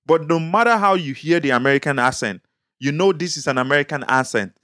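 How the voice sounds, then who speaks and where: A man's voice: on the high side, lively intonation, a bit bright, no room sound. Nigerian man arguing his point, dry sound. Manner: measured, explanatory, argues his point. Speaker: podcast co-host. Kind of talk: casual podcast discussion.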